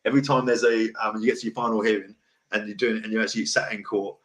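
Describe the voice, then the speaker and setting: A middle-aged man with a deep voice hosts a casual livestream talk, blunt, emotional and rambling. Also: little pitch movement, very fast, a bit bright. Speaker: host. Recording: echoey room.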